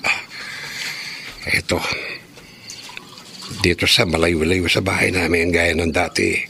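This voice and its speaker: A man's voice: low-pitched, flat delivery, slow, gravelly, bright, forceful tone, slight background noise, heavily acted. A man playing a scientist who talks to himself in a radio drama monologue, explaining as he goes. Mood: musing. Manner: expository, thinking aloud. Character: male home-lab scientist talking to himself.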